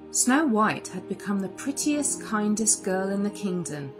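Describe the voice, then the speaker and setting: A woman reads a children's tale aloud as host. Her delivery is expressive and unhurried, at a medium-low pitch for a woman or near the middle, with music behind her. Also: poor audio. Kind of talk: expressive read-aloud story narration.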